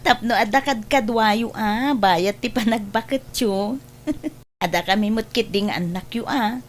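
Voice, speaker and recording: A woman playing a sharp-tongued, teasing woman in an Ilocano family comedy, scolding with drawn-out exclamations. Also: on the high side, a little hiss or hum, close to an everyday voice. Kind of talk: comedy drama acting.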